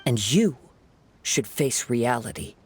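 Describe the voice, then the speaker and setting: An actor playing a calm, principled young wandering swordsman in a video game, speaking in a measured, earnest and formal way. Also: mid-range voice, a little hiss or hum. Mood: stern, probing.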